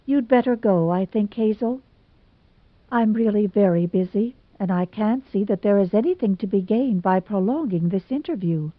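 A woman's voice: medium pitch, expressive, moderate pace, dry recording, phone-quality sound, natural voice. A woman voicing a sensible young woman who talks in a dry, level, wryly humorous way.

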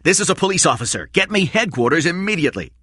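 A man reading a story and voicing a brisk, determined police officer who speaks firmly. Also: medium pitch, wildly swooping pitch, fairly bright tone, no room sound, studio quality, pitched above the performer's own voice, heavily acted. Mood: urgent. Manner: brisk, firm.

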